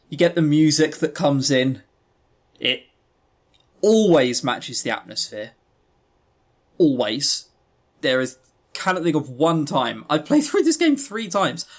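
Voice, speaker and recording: A man co-hosting a podcast, giving blunt, opinionated, sweary reviews in a casual chatty way. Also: medium pitch. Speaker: podcast co-host.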